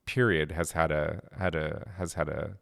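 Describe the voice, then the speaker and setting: A man's voice: a bass voice, steady tone, normal speed, gravelly, fairly bright tone. A middle-aged man from Japan, a game studio co-founder, chatting in an interview with many fillers and restarts. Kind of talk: casual interview conversation.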